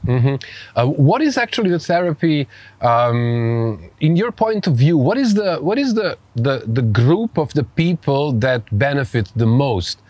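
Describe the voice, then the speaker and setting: A man from Serbia with a Serbian accent hosts a conversational interview, speaking haltingly and repeating phrases as he frames his questions. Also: medium pitch, very animated, darkish tone, no echo. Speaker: podcast host.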